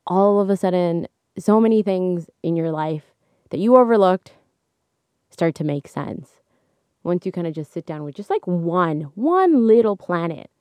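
A young woman hosting a solo podcast, chatting casually into a microphone. She laughs often, and her voice is slightly hoarse. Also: measured pace, no echo. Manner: chatty, laughs often, animated swings in pitch. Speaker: podcast host. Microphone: studio microphone.

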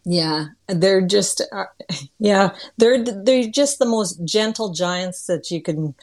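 A woman's voice: mid-range voice, slow pace, darkish tone. A middle-aged or older woman from northern Ontario with a Canadian accent, chatting casually as an interview guest in a folksy, plain-spoken way.

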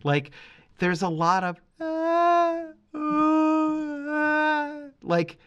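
A man's voice: very high-pitched, big pitch swings, very slow, fairly bright tone, dull audio. A middle-aged man hosting a casual music review podcast, thinking aloud in a rambling, emphatic, opinionated way.

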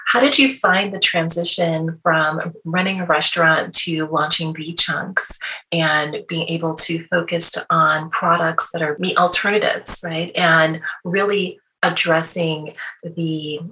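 A woman's voice: low voice, fairly even intonation, measured pace, pushed and bright, poor audio. A woman hosting a podcast, reading a polished introduction and asking questions, often ending them with "right?". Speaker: podcast host. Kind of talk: scripted intro and interview questions.

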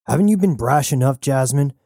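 Worried male crewmate, calm warning tone, near the reader's own voice; narrator doing it. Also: medium pitch, brisk, dry recording, studio quality. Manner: worried, cautioning. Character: anxious cautious male crewmate.

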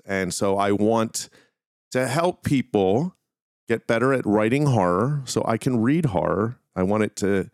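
A man's voice: lowish voice, clear, resonant tone, no room sound, studio quality. A man hosting a solo podcast, talking casually and informally in a meandering way. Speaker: podcast host.

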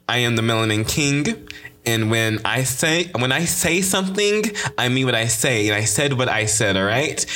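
A man's voice: mid-range voice, bright, forceful tone. A young man with a slight lisp hosting his own podcast, talking in a sassy, emphatic way and repeating his catchphrases. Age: young adult. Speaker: podcast host. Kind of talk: casual solo podcast intro.

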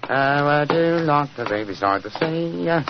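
A man's voice: a little high, lively intonation, a little slow, voice pushed up. A man putting on a chirpy Cockney workman. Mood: jaunty. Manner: chummy, sing-song, hums and chatters. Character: cheery Cockney workman, chatty and cocky. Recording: dull old radio recording, light hiss.

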